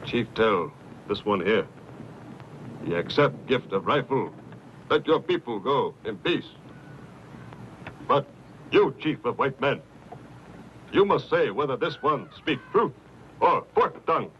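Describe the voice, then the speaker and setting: A stern chief, muffled radio sound. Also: male voice, medium pitch, big pitch swings. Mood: stern, commanding. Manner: halting, clipped broken English, declamatory. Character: stern Comanche chief bargaining for rifles. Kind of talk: western radio drama acting. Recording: muffled lo-fi radio recording, faint noise.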